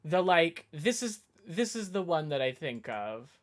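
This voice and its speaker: A woman's voice: sits low, big pitch swings, a little slow, a crowd in the background, noisy background. A woman from the suburbs outside Boston with a light Boston accent, chatting casually with her stream chat and rambling through restarts.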